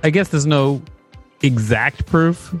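Podcast guy reading an article out loud, jokey, laughs a lot, faint music behind. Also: a little high, slow. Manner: joking, laughs often. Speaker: podcast co-host. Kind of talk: reading aloud and casual chat.

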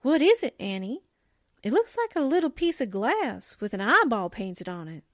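A woman playing an excitable, naive but caring sidekick in a radio mystery drama, expressive and exclamatory. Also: on the high side, moderate pace, no echo, studio quality. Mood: startled.

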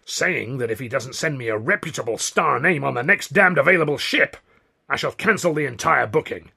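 Man acting blustering big promoter, raised gravelly pitch. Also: lively intonation, brisk, pushed and bright, exaggerated voice. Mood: triumphant anger. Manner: seething, scornful, bombastic. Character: blustering, vindictive big American theatre promoter, cowboy type.

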